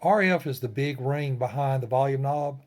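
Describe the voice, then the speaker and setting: Man, mid-pitched, folksy, plain-spoken, informal tutorial host, short phrases, step-by-step demonstration. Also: normal speed, a little mellow. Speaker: ham radio tutorial host.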